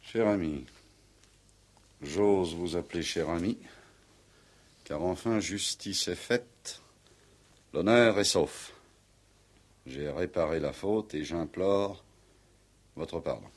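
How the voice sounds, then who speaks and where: A man playing a grave, honour-bound military commandant in a 1960s French-language radio play, speaking in a formal, measured, cryptic way. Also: low-pitched. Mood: solemn. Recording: vintage 1960s radio drama recording.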